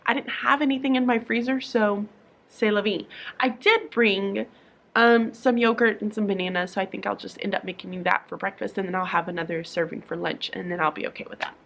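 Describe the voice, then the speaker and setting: A woman's voice: mid-range voice, fairly even intonation, fairly quick, vocal fry, a bit bright. A young woman teacher vlogging from her classroom in a sleepy morning voice, talking casually to camera with lots of fillers and restarts.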